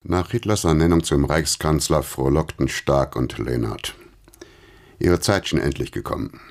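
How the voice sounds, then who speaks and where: A man with a husky voice giving sober, measured historical narration in a scripted radio play. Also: extremely low pitch.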